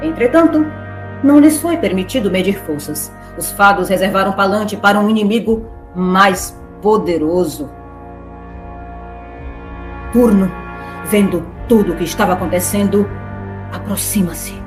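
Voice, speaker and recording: A woman's voice: mid-range voice, a little slow, reverberant. A woman narrating a scripted story theatrically, slipping in playful, wry asides. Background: music.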